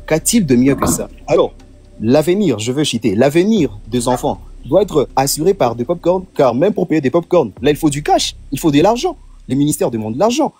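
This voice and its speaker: A man's voice: mid-range voice, warm tone, breathy edge. A man with a Congolese French accent, arguing insistently in a live debate and piling up rhetorical questions. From eastern DR Congo. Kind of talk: impassioned argument on a live stream.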